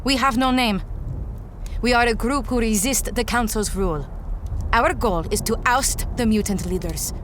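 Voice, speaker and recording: Woman acting a defiant high-voiced rebel woman. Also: moderate pace, fairly bright tone, natural voice. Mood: earnest, persuading. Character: defiant young female rebel in post-apocalyptic city, earnest, resolute. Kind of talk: audio drama acting. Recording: noisy stormy ambience, engine rumble.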